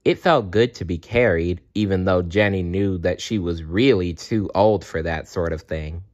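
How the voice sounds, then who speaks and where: A man's voice: low voice, moderate pace, a little mellow. A man reading a children's storybook aloud as narrator, on a dull, lo-fi recording. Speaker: storybook reader.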